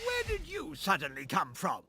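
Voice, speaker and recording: A man's voice: high voice, huge pitch swings, moderate pace. An actor playing a fat, pampered and pompous male governor in a game cutscene, whiny in manner. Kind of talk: video game cutscene acting. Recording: game audio with music behind.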